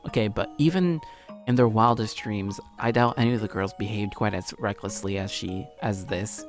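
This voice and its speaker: A man livestreaming a let's play, reading story narration aloud with casual asides, often stumbling and rereading phrases. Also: normal speed. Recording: low-quality stream audio, music behind. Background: music.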